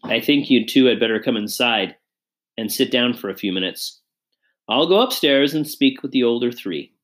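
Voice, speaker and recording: A courteous, steady father from a storybook, as a woman reader plays him. He speaks firmly but kindly, with expressive movement in his pitch. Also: moderate pace. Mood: calm, firm. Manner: polite, firm, kindly.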